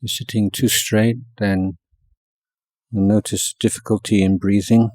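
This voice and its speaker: A man guiding a meditation as a teacher, speaking in short measured phrases with long pauses between them. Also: on the low side, little pitch movement, darkish tone, no echo. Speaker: Buddhist monk teaching meditation. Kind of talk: guided meditation instruction.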